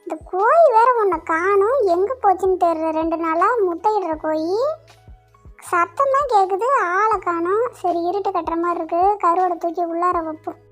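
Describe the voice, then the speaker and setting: A performer voicing a hard-up, grumbling village mother in an animated story, in colloquial speech. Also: extremely high pitch, moderate pace, faint music behind. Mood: chatty. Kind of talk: cartoon dialogue in colloquial rural speech.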